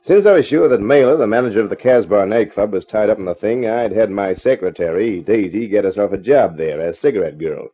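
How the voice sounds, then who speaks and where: An actor playing a cool, laconic private eye in a 1950s radio crime drama. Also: male voice, lowish voice, brisk, few pauses, dark tone, breathy edge. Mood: wry narration. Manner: laconic, unflappable. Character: cool, wisecracking hardboiled American private eye. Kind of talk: 1950s radio crime drama, first-person narration and dialogue. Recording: dull old radio recording.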